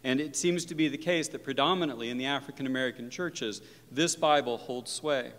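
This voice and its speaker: A man's voice: medium pitch, brisk, fairly bright tone. A male professor of religion giving an earnest, reflective university lecture that mixes in personal stories. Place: lecture hall. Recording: long reverb of a lecture hall.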